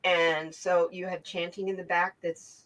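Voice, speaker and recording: Bright-voiced, animated woman guest. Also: fairly low pitch, measured pace, low-quality recording. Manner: animated storyteller, laughs often. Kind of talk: casual video interview.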